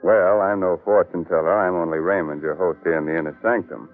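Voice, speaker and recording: A sly, macabre horror host on a narrow vintage radio recording with faint music behind. His gravelly delivery is theatrical, deliberate and teasing. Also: deep voice, fairly even intonation. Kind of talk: old-time radio horror hosting.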